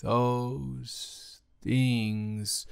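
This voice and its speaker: A man acts out an awkward young guy in a romantic audio roleplay, sweet on his best friend. He speaks at a middling pitch, haltingly, stammering and teasing in an intimate one-sided conversation. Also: flat delivery, creaky, smooth. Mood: flustered, nervous. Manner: hesitant, stammering, halting delivery.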